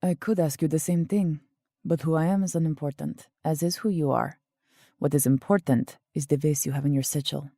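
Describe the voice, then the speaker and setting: Imperious female royal spy played by an actress, cryptic and formal, deep mellow voice, faint music behind. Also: a little fast, no room sound, clean studio sound. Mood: cool, cryptic. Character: mysterious, commanding female royal agent, coolly condescending. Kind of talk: steampunk audio drama acting.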